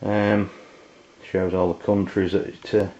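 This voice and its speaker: A man giving a casual, halting, unscripted product review as he goes. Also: low voice, level tone, mellow. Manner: halting, hesitant delivery. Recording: dull lo-fi amateur video sound.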